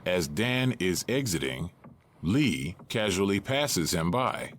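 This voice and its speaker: A man's voice: sits low, sing-song, takes it slowly. A man reading stage directions as scripted narration for an audio drama.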